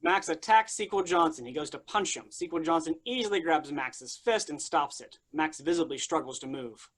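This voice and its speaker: Mid-pitched stage directions narrator. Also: normal speed. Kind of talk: scripted narration at a staged play reading.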